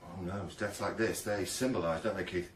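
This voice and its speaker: An actor playing a world-weary police detective in a radio drama, with a wry, clipped, brooding delivery. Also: male voice, low voice, steady tone, fairly quick, far from the mic, slight background noise, natural voice. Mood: brooding, bitter. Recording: echoey, off-mic, music underneath.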